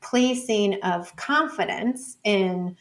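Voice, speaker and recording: A woman's voice: medium pitch, slow. A woman explaining things informally as an interview guest, thinking aloud with plenty of "like" and "you know". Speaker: interview guest, data scholar and teacher.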